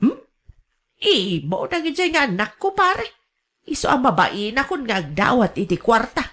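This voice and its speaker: A woman's voice: a little high, sing-song, normal speed, a bit bright, breathy edge, heavily acted. A woman acting in a radio drama as a meek, pleading elderly gambling mother, with a hesitant, stammering delivery and a Filipino Ilocano accent. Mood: wheedling.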